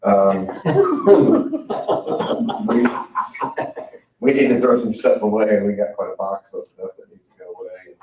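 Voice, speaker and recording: A man making an informal request at a public meeting, casual and halting in his delivery. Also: lowish voice, fairly even intonation. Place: indoor public meeting room. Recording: echoey room, distant mic, dull, faint noise.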